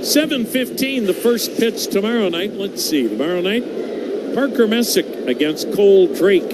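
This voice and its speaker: A man's voice: high voice, moderate pace. An American man calling live baseball play-by-play as a radio announcer. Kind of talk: live radio sports commentary. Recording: very noisy broadcast, steady background hum.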